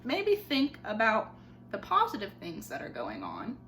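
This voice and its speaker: A young woman teacher reading a picture book aloud to her class from home, with expressive storybook delivery and dramatic pauses. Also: medium pitch. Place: home living room.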